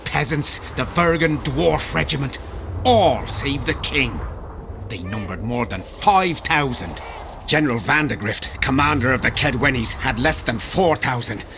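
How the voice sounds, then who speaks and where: An actor playing a proud, rough army veteran in a fantasy video game, speaking in a blunt, rustic soldierly manner. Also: male voice, mid-range voice, background noise. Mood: solemn, proud. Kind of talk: video game dialogue acting.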